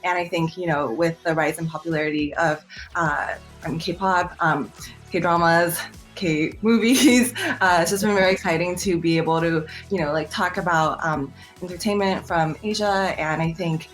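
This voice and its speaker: A young woman from Taiwan, an entertainment journalist, speaks in a very low voice. She talks in a measured way, pausing often on "um" and "uh". Her voice comes through a video call with faint music underneath. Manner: measured, many "um" and "uh" pauses. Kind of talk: panel interview answer.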